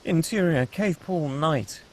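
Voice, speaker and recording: A man with a husky voice reading scripted screenplay action as the narrator of a table read. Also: a little high, lively intonation, slow, slight background noise.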